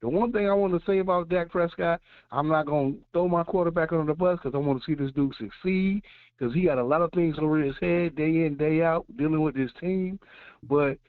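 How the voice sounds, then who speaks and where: A man's voice: a little high, fairly quick, no room sound. A man calling into a sports show with a blunt, fired-up, profane rant. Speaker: caller. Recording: tinny phone line.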